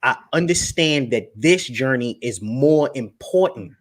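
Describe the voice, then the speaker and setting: A man's voice: mid-range voice, expressive, unhurried. A young man talking casually and emphatically on a livestream, repeating words as he makes his points. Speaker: guest speaker on finance.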